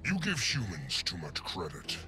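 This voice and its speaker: An actor playing an arrogant, menacing supervillain in a video game. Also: male voice, low-pitched, huge pitch swings, moderate pace. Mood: contemptuous, menacing. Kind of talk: video game character dialogue. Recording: game music and effects behind.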